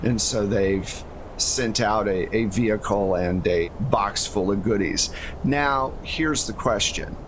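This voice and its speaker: A man running a tabletop game as game master, narrating casually and explaining things conversationally. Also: takes it slowly. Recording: steady low rumble and hum behind voice.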